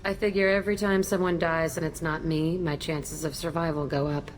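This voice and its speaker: A woman's voice: deep voice, flat delivery, a little fast, lightly acted, low-quality recording. A woman playing a hardened, cynical killer in a video game, talking in a blunt, sardonic way. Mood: sardonic, flippant. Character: hardened, cynical female killer who relishes mayhem. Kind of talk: video game character acting.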